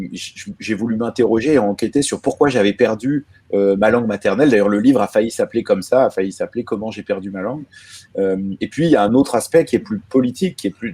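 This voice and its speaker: Calm low-voiced man on a video call. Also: husky. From Lebanon. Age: 30s. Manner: reflective, measured explanations. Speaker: author guest. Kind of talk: conversational interview answers. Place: home in the evening, remote.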